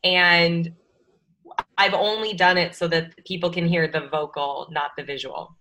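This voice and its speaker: Bright-voiced woman guest on a video call. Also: on the low side, steady tone, measured pace, poor audio. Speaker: podcaster and energy coach, guest. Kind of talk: casual interview chat.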